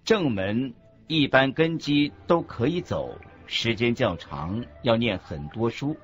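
A man reading scripted religious teaching as a narrator, in a deliberate, instructive manner. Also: mid-range voice, animated. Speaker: narrator of a Buddhist teaching radio program. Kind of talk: scripted didactic narration in a radio program. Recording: muffled lo-fi audio with music underneath. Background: background music.

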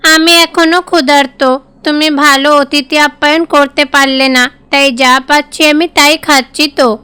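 A woman's voice: high voice, steady tone, measured pace, pushed and bright, dry recording, soft background music. A woman voicing a confident, demanding boy god with a big appetite in a cartoon fairy tale. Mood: indignant. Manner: demanding, self-assured.

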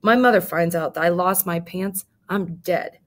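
A woman's voice: lowish voice, normal speed, natural voice. A woman reading a storybook aloud and voicing an anxious young boy who pleads and frets. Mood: worried. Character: worried young boy stuck on a tank.

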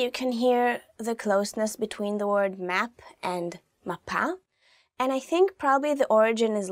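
A woman's voice: mid-range voice, no echo, clean studio sound. A young woman with an Israeli accent, a native Hebrew speaker, teaching a language lesson informally to camera. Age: 20s. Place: indoor studio.

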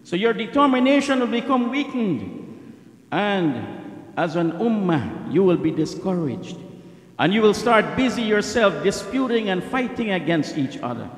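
A middle-aged man preaches into a stand mic at a mosque lectern, his voice pitched high for a man. He speaks with fervor and repeats key lines for weight. The sound rings with long reverb in a large prayer hall. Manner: impassioned, repeats lines for emphasis, rapid Arabic recitation. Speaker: preacher giving a Friday sermon. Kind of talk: sermon with supplications. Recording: echoey hall.

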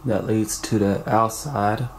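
A man in his late twenties exploring an abandoned house at night, talking low to his handheld camera. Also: steady tone, takes it slowly, gravelly. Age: late 20s. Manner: low running commentary to camera. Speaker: paranormal urban explorer. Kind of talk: solo night exploration vlog. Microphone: handheld camera mic. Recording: noisy handheld camera audio.

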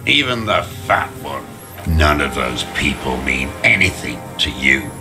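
A sickly old communist zealot from a video game, with an extremely low voice. He speaks slowly and spits out contempt, scorn and bitter accusations. Music plays clearly behind him. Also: sing-song, full character voice. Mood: bitter, accusing. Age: older. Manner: scornful, ranting, spitting insults. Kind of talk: dramatic video game voice acting.

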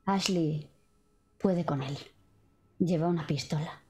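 A woman's voice: deep voice, takes it slowly, warm tone, a little hiss or hum. A woman player in a tabletop roleplaying game, improvising her character's words and actions.

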